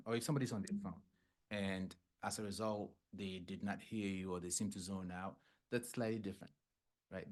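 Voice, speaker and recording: A man from Ghana whose first language is Fante, a clinical psychologist hosting a podcast and explaining things in a casual way, often checking in with "right?" and "yeah?". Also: fairly low pitch.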